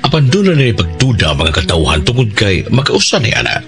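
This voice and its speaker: A male radio drama narrator with a Filipino accent. His voice is fairly low and widely inflected, solemn and dramatic, with music playing behind it. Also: huge pitch swings, a little fast.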